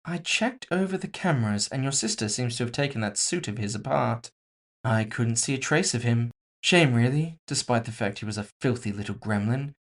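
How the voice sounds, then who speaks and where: A man's voice: mid-range voice, lively intonation, normal speed. A man voicing a composed, villainous woman executive in audio fiction, casually polite, mocking and condescending. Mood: coolly dismissive. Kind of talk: audio fiction character dialogue.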